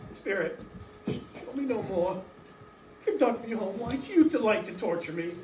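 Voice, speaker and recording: Woman doing a troubled defensive old miser guy, halting n earnest, stage reading, echoey w faint noise. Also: mid-range voice, lively intonation, a little mellow, close to an everyday voice. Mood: anguished, pleading. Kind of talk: dramatic reading of a Victorian story. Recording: roomy, distant mic, faint noise.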